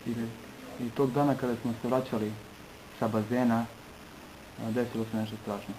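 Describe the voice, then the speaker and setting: A man from Serbia giving an earnest personal testimony in short phrases, pausing between them for an interpreter. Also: medium pitch, flat delivery, noisy background.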